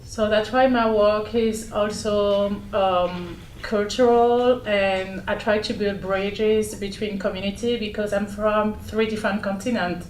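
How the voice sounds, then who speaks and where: A woman's voice: medium pitch, fairly even intonation, a little slow, hardly any pauses, a little mellow. A woman artist raised in Paris, with a French accent, speaking carefully in simple phrases on a panel. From born in Congo, raised in Paris. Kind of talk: panel discussion. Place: gallery room. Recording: echoey room with background noise.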